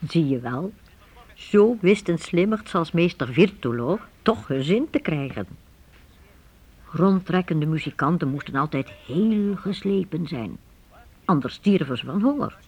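A man narrating a scripted radio drama for young listeners in an expressive, explanatory storytelling manner. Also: on the high side, measured pace, slightly rough.

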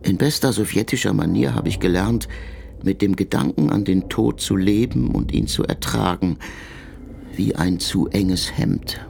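An actor playing a weary, fatalistic old revolutionary in exile in a radio drama, resigned and reflective. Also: male voice, sits low, measured pace, vocal fry, a little mellow, a little hiss or hum, heavily acted.

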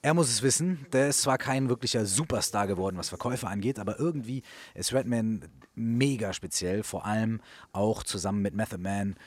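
A man's voice: on the low side, brisk. A man co-hosting a radio show in casual, slangy German sprinkled with English hip-hop terms.

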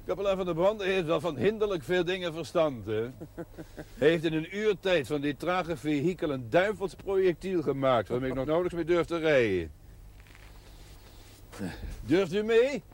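A man's voice: on the high side, expressive, moderate pace, natural voice. A man playing a wry, courteous country doctor who speaks formally and teasingly. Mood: dry, ironic. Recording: television drama with faint hiss.

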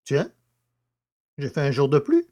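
An actor playing a good-natured, cheeky country poacher in an audio comedy, artless and deferential yet sly. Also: male voice, fairly high pitch, huge pitch swings, unhurried, warm tone. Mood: artless, amused. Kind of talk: French radio comedy acting.

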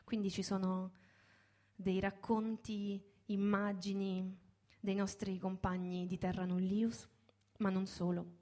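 A woman in her thirties introduces a live theatrical reading in a reflective, halting way, with pauses and repeated words. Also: mid-range voice, little pitch movement, darkish tone. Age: 30s. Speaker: host and presenter of a live reading.

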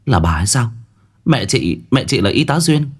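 Man as young woman, earnest, emotional, halting, story dialogue, lively pitch swings. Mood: stunned, eager. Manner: halting, repeats words when overwhelmed.

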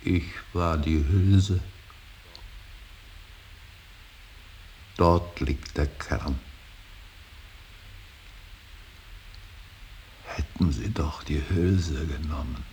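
Old grieving painter guy, super low voice, slow and heavy, solemn radio play, bit of hiss. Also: a little mellow, exaggerated voice, poor audio. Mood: broken, resigned. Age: older. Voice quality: very deep, gravelly. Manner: halting, weighty, brooding, breaking into outbursts. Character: grieving old painter, stern, brooding, bitterly devout father. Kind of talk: slow, solemn German stage-style radio drama acting. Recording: hissy radio play recording.

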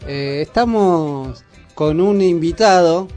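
Argentine guy hosting a radio show, quite high voice, chatting casually, bit of music under him. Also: takes it slowly, darkish tone. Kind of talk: casual live radio chat. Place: radio studio.

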